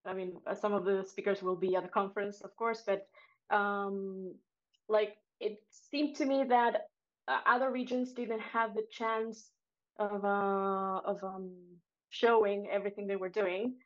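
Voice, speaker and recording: Halting woman, slightly muffled. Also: medium pitch, little pitch movement. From Argentina. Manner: halting, searching for words in English. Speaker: board member, guest. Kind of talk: podcast interview.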